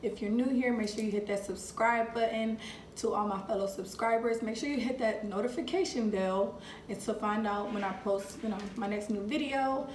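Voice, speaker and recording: A woman's voice: on the high side, moderate pace, darkish tone, slight background noise. A young woman hosting a casual unboxing review, chatty and talking to viewers as "you guys", getting over a cold. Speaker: vlogger host. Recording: echoey room.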